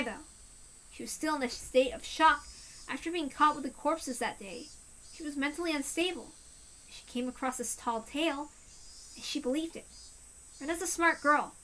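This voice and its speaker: Keiichi, a woman voicing a teenage boy, hoarse. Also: sits high, rough recording. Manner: swings from musing to shouting at himself. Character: teenage boy narrator, jumpy and self-blaming.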